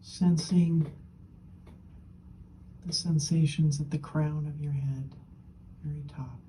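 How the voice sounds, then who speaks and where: Slow, mellow woman guiding meditation. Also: sits low, steady tone, a little hiss or hum. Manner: gentle, unhurried, with long pauses between short phrases. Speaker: meditation teacher. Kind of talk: guided meditation.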